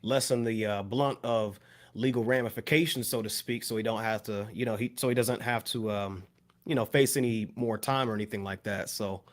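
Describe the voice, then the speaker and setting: A man with an American accent giving casual commentary on a hip hop panel, halting, mixing formal wording with slang. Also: lowish voice, steady tone.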